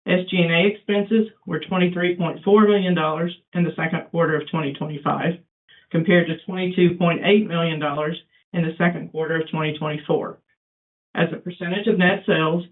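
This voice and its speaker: A woman speaking as chief financial officer, reading scripted financial results in a formal, businesslike way. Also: lowish voice. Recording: thin conference phone line.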